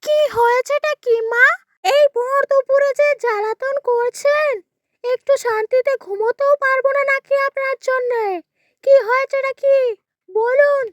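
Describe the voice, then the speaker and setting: A woman's voice: very high-pitched, steady tone, unhurried, pitched above the performer's own voice. A woman voicing a spiteful young daughter-in-law who scolds and mocks. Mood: irritated scolding. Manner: scolding, mocking, sharp.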